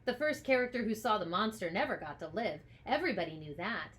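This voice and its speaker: Female storybook narrator, medium pitch, expressive scripted read, author reading aloud. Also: quick pace. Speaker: author reading their own book aloud.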